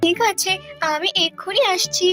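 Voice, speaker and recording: A gentle young cartoon princess played by a woman. The voice is very high, caring and resolute, set over faint music. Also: moderate pace. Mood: reassuring, resolute.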